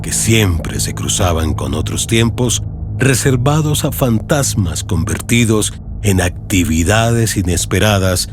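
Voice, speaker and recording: A man narrating a literary audio story in a solemn, measured, dramatic way. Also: mid-range voice. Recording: music behind the voice. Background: music.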